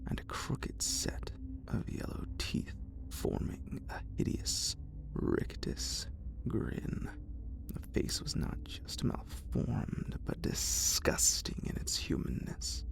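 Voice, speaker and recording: Whispering man with a gravelly, creaky edge. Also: a bass voice. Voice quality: breathy, unvoiced whisper. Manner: deliberate, literary first-person storytelling. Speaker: horror story narrator. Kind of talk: whispered ASMR horror story reading.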